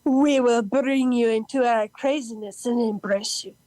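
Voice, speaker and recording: A woman playing an eccentric, cheerful character in an amateur audio play, reading with halting lists and emphatic bursts. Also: on the high side, warm tone, a little hiss or hum, lightly acted, poor audio. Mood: playful, challenging. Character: eccentric, cheerful woman proud of odd sandwiches and games.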